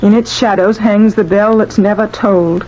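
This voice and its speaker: Terrified young bride-to-be played by a woman, halting and dramatic, mid-range voice, muffled vintage radio drama. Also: medium pitch, steady tone, warm tone, close to an everyday voice. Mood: haunted, sombre. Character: frightened young bride-to-be haunted by a ghost. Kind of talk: 1950s radio drama acting. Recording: dull, noisy old radio recording.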